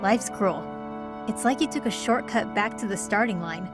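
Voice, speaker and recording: A woman's voice: mid-range voice, moderate pace, music in the background, natural voice. A woman playing a blunt, teasing young woman in a video game, with an informal, offhand way of talking. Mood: sardonic. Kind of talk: English video game voice acting.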